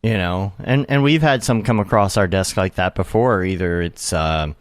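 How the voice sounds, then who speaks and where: A male podcast host with a low voice, talking in loose, rambling chat and circling back on half-finished thoughts. The recording is close and dry, with no room sound. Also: moderate pace, clear, resonant tone, no echo. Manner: rambling, restarts mid-thought. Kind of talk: casual chat.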